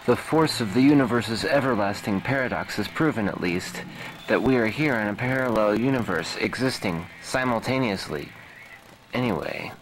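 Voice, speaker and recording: A man's voice: on the low side, expressive, normal speed, faint music behind, low-quality recording. A man playing an earnest military hero in an amateur audio drama, declaiming in a stilted way. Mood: pondering, earnest. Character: earnest military hero puzzling over parallel worlds.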